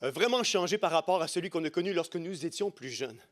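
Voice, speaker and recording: A man's voice: fairly high pitch, lively intonation, fast. A middle-aged man with a Quebec French accent preaching an impassioned sermon, emphatic and rhetorical, repeating key phrases. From Quebec, Canada.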